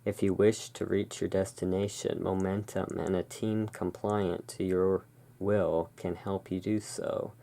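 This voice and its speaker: A soft-spoken ASMR host with a low-pitched, creaky voice. The delivery is very slow and hushed, drifting between gentle musing and measured reading, as part of a relaxing roleplay. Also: level tone. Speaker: host, playing curiosity emporium owner.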